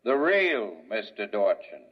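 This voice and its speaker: A man playing a calm, menacing male scientist villain in a 1950s radio drama, old dull recording. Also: lowish voice, slow, husky, exaggerated voice. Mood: condescending.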